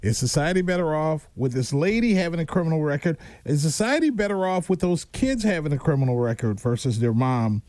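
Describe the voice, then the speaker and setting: A man's voice: on the high side, very animated, fairly quick, hardly any pauses, a little mellow. An American man hosting radio with upbeat, chatty DJ talk and conversational asides, speaking on a studio microphone. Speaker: radio host.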